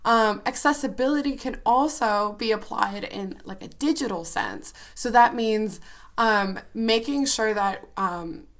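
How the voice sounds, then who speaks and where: Hoarse, bubbly young woman. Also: a little high, a little slow, fairly bright tone. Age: 20s. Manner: bubbly, chatty, laughs often. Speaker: podcast co-host. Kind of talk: casual chat.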